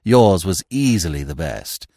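A suave male gentleman of a comic storybook as a man reads him, unflappable and blandly cordial. His grandiose, florid speech rises and falls very theatrically, in a dry close recording. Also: low voice, big pitch swings, a little slow, no room sound, pitched below the performer's own voice, heavily acted. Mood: grandly earnest. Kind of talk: audiobook character voice.

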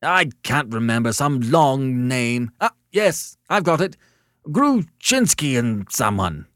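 A man voicing a querulous, hysterical novelist who wails and complains in a shrill, agitated way in an audiobook story. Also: very animated, a little slow, creaky, fairly bright tone, no room sound, studio quality, full character voice. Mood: exasperated. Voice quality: shrill, wailing. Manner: agitated, emphatic, unburdening himself.

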